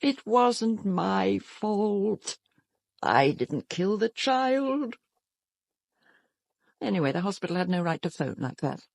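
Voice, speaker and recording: A self-absorbed British stage diva in a radio drama. Her voice is husky and swings widely in pitch, recorded close and dry. She is haughty and imperious, with a theatrical, melodramatic delivery that betrays an anxious vanity. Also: sing-song, slow pace, pauses often, darkish tone, no room sound, full character voice. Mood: defensive, indignant. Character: vain, self-absorbed, fearful stage actress. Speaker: actress in an audio drama.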